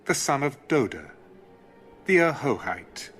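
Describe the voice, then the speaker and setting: A man narrating scripture as formal, measured, dramatic storytelling. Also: medium pitch, big pitch swings, a bit bright. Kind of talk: dramatic audio Bible narration. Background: music behind the voice.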